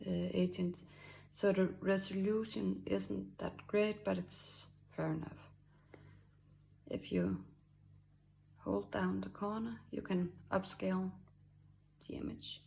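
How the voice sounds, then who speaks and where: A woman with a Danish accent talks through a drawing lesson at an unhurried pace, pausing often with fillers. Her voice has a gravelly edge, and a faint hiss sits under the recording. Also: mid-range voice, poor audio. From Denmark. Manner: halting, many fillers, soft asides. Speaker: art tutorial host. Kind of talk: screen-recorded drawing tutorial.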